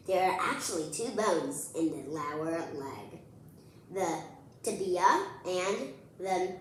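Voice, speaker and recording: A woman reading a picture book aloud to children in an expressive, playful way, speaking directly to her young listeners. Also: on the low side, slight background noise. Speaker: children's read-aloud host. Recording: very echoey room.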